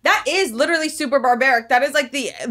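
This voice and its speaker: A woman's voice: high-pitched, fast talker, fairly bright tone. A young woman co-hosting a comedic podcast, chatting casually with blunt, slangy candor. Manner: blunt, slangy, candid oversharing. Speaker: podcast co-host.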